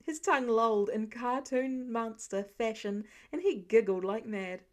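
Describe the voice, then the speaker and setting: High-voiced woman reading a story. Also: a little slow. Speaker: audiobook-style fan fiction reader. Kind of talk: expressive scripted story narration.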